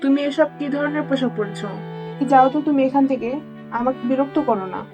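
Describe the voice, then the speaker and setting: A woman's voice: high-pitched, quick pace, close to an everyday voice. A woman voicing a schoolgirl classmate in an Islamic cartoon, in a dull lo-fi recording. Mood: scornful, dismissive. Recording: dull lo-fi audio, music behind. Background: music.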